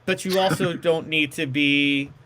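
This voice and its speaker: A man co-hosting a casual sports discussion, repeating himself and halting as he builds his point. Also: fairly high pitch, steady tone, pushed and bright. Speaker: sports talk show co-host.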